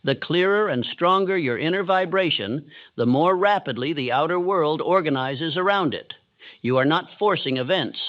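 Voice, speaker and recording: A man giving a calm, steady, measured narration in the solemn manner of a motivational sleep audiobook. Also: a little high, no echo, highs cut off.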